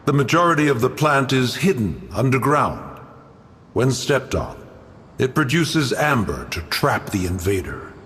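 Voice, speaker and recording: An actor playing a haughty, ancient guardian spirit in a game, speaking in a stern, stately, archaic manner. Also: male voice, medium pitch, sing-song, a bit bright, a little hiss or hum. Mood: aloof, dignified. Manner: stern, archaic, stately, slow formal speech. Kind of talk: dramatic game voice acting. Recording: long echoing reverb with music behind.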